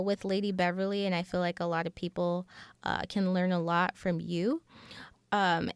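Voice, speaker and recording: A woman's voice: mid-range voice, clear, resonant tone, no room sound. A woman hosting a podcast, talking in a conversational style. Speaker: podcast host.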